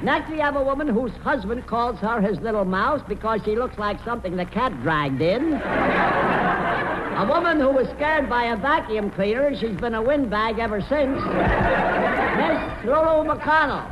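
A man's voice: very high-pitched, lively intonation, a little slow, a loud crowd, heavy background noise. A man hosting a 1940s radio comedy quiz, rattling off gags on an old recording. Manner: echoes answers, rattles off gags. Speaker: comic quizmaster.

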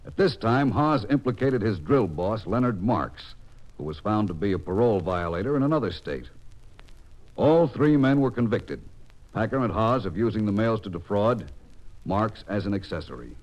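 An actor playing a sober, official narrator of an old-time radio crime drama, speaking formally with an American accent. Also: male voice, lowish voice, expressive, moderate pace, a little mellow, lightly acted. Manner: formal, authoritative. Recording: dull vintage radio recording.

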